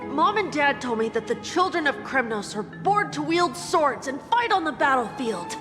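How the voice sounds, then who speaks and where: A woman's voice: high-pitched, sing-song, moderate pace, in a large hall, over music. A woman voicing a proud, serious young child in a video game, speaking in an earnest and firm way. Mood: earnest, insistent.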